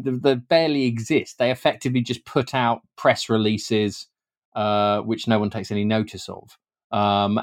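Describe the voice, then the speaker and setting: British man explaining, halting and informal. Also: mid-range voice. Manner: hesitant, often repeating words mid-sentence. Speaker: guest author and historian. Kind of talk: informal explanatory interview talk.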